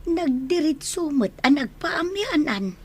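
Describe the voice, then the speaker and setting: A woman's voice: high voice, big pitch swings, slow pace, a little mellow, heavily acted. A woman playing the caring rural wife of an old herdsman in an Ilocano radio drama.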